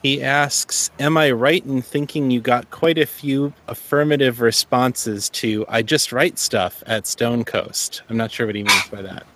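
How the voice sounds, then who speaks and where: A man's voice: medium pitch, fairly even intonation, a little slow, hardly any pauses, fairly bright tone, poor audio. A man speaking as a podcast host, interviewing and addressing listeners.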